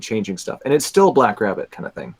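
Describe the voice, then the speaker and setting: A man co-hosting a casual fan podcast over an online call, bantering quickly and poking fun at himself. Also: fairly low pitch, sing-song, slightly rough. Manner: self-mocking, quick banter. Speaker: podcast co-host. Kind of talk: casual chat.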